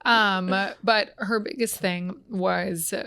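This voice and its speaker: A woman who is losing her voice, sounding breathy as she chats casually about training as a gym-owner guest. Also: medium pitch, fairly even intonation, sharp tone, dry recording, studio quality. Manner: explains with quick everyday examples. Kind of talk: casual podcast chat.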